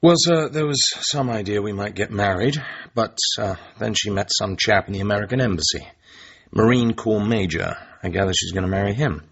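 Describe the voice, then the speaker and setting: A cool, flirtatious English spy in a radio play. His voice is low and a little husky, with dry, understated wit. The sound is slightly dull. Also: brisk. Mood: deferential, dry. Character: suave, wry British male secret agent, cool and flirtatious. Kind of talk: radio drama acting.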